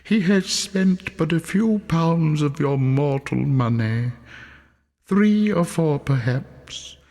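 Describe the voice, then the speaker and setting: A man voicing a solemn spirit in a storybook reading, questioning and commanding in a measured, relentless manner. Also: on the high side, expressive, gravelly, darkish tone, big-room sound, pitched above the performer's own voice, full character voice. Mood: probing.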